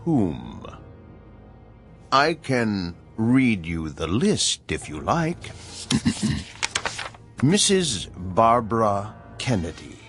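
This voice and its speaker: An actor playing a mysterious, knowing messenger in a radio drama, deliberate, coolly pedantic and deadpan. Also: male voice, fairly low pitch, soft background music, heavily acted.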